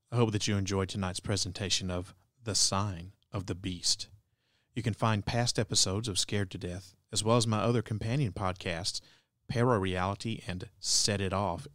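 A man's voice: low-pitched, fairly bright tone, dry recording, studio quality. A man hosting a podcast, reading a scripted sign-off. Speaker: podcast host.

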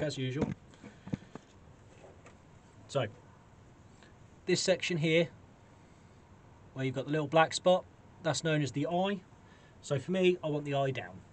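A man in his forties with a British accent giving a casual, chatty, self-deprecating gardening demonstration to camera. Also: on the high side. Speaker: allotment gardening vlogger. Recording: clearly noisy camera sound with clicks.

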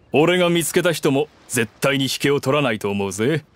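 An actor playing a gruff, confident middle-aged working man in an anime game, casual and plain-spoken. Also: mid-range voice, lively intonation, normal speed, a bit bright, a little hiss or hum, close to an everyday voice. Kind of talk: Japanese anime game voice acting. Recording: faint music behind the voice.

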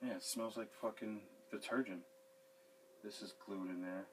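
A man's voice: on the low side, steady tone, moderate pace, a little hiss or hum. A man in his thirties working on a sink in a small basement room and chatting casually to a camera a few feet away, rambling and swearing freely. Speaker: handyman fixing a sink. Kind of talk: casual how-to video with running commentary. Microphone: fixed camera a few feet away.

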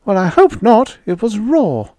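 A flustered, fussy housewife rattles on in a raised, fluttering voice, as a male reader puts her on. She is hurried and fretful, and her pitch climbs and dips all over. The sound is close and dry. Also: wildly swooping pitch, darkish tone, no echo, heavily acted. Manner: hurried, fretful, breathless chatter. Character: fussy anxious housewife, grown woman. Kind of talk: audiobook character voice.

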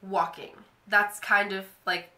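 A young woman talks to her camera in a casual, filler-heavy ramble. Her voice is bright and a little stuffy from a cold. The bedroom around her adds a noticeable echo. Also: medium pitch, flat delivery, slow. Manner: chatty, lots of "like" fillers. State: nasal from a cold. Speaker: vlogger. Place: bedroom, indoors.

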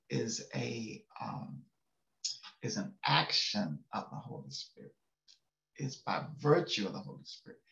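A man's voice: mid-range voice, gravelly. An older male pastor giving a casual, folksy devotional sermon from his home study, chuckling and checking in with listeners. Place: home study with bookshelves, indoors. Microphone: webcam on a video call. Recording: echoey room, slightly dull webcam sound.

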